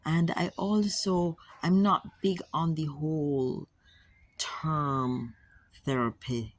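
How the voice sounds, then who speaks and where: A woman with a Jamaican accent, speaking to camera as a wellness coach in a measured, earnest way, often repeating words for emphasis. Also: low-pitched, fairly even intonation, no room sound. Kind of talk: casual talk to camera.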